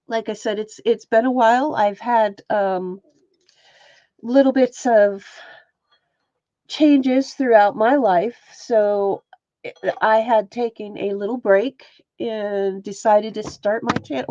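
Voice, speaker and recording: A woman's voice: a little high, warm tone, no echo, lo-fi sound. A woman with a stuffy, sniffly nose hosting a casual crafting livestream and chatting with viewers by name. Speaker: livestream host and textile artist. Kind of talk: casual livestream chat while showing stitching.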